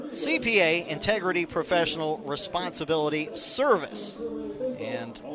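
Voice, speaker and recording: A man doing live radio play-by-play commentary as a baseball announcer. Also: high voice.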